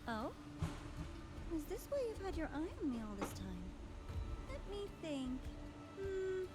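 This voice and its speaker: A woman's voice: high-pitched, very animated, a little slow, loud music behind the voice. A woman voicing a playful, sinister young female mastermind in a video game, with a coy, theatrical, teasing delivery. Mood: coy, gleeful.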